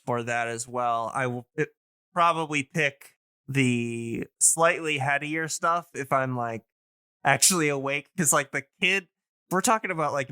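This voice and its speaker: Bright, clear-voiced man chatting casually. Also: mid-range voice, dry recording. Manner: halting, searching for words, enthusiastic recommending. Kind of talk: casual podcast chat.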